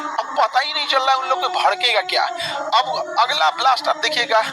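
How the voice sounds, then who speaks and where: A man with a Bihari accent teaches in a very high-pitched voice. He speaks colloquially and emphatically, hammering points home with repetition and rhetorical questions. Loud music plays behind him. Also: normal speed, poor audio. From India. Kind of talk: animated classroom lecture on current affairs.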